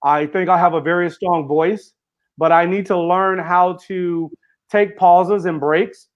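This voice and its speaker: A man's voice: high-pitched, fairly even intonation, measured pace, highs cut off. A man giving an earnest interview as a guest author and speaker, running his sentences together with fillers. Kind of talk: casual interview conversation.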